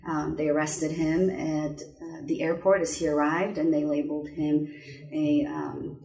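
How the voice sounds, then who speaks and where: A woman from Bulgaria, a guest in a studio, telling her life story in a thoughtful, measured way. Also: low voice, flat delivery, creaky, mellow, recorded from a distance. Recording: roomy sound from off mic.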